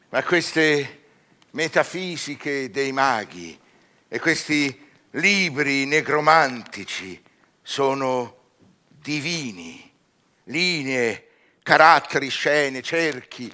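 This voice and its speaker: An actor playing a proud scholar hungry for magical power in a verse drama, grandiose and declamatory, swinging between murmurs and swelling phrases. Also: male voice, on the high side, pushed and bright, full character voice. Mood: rapt. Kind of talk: theatrical verse radio drama.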